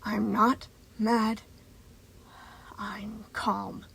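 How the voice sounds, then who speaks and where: A woman voicing an unhinged, condescending male moon-faced robot in a slow, crackling near-whisper, taunting with tightly controlled intensity, in a story reading. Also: mid-range voice, vocal fry, natural voice. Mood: seething restraint.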